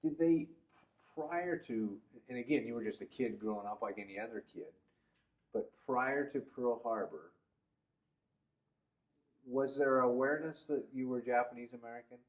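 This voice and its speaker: A man's voice: mid-range voice, normal speed, long pauses, poor audio. A man interviewing an elderly veteran for an oral history project, asking hesitant, stammering questions.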